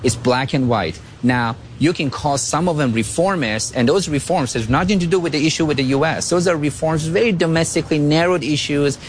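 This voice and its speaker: A man's voice: fairly high pitch, brisk, hardly any pauses, a little hiss or hum. A man born and raised in Iran, a guest analyst answering questions in a radio interview with firm, emphatic points.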